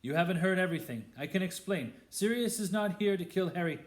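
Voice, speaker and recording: A man voicing a calm male wizard teacher who pleads in an urgent, earnest way. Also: medium pitch, quick pace, close to an everyday voice. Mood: pleading. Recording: roomy echo.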